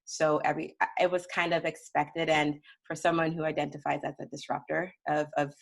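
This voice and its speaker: Panelist, woman from Ghana, very low flat gravelly voice, analytical. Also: fairly quick. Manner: articulate and analytical. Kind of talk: panel discussion.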